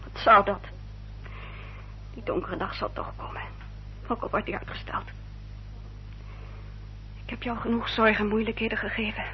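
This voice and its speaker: An actress playing a sorrowful, resigned young gentlewoman, speaking formally and earnestly in a radio play. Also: mid-range voice, steady tone, moderate pace, pauses often, lightly acted. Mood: sad resignation. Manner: formal, earnest, self-sacrificing. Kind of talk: Dutch radio play acting. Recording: dull, noisy old radio recording.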